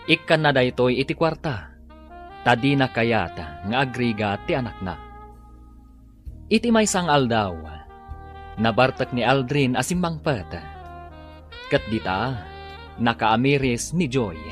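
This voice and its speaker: A male radio drama announcer with an Ilocano accent. He reads slowly and theatrically, his pitch rising and falling in lively sweeps over prominent theme music. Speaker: announcer and narrator. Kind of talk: dramatic scripted radio narration. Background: loud-ish theme music.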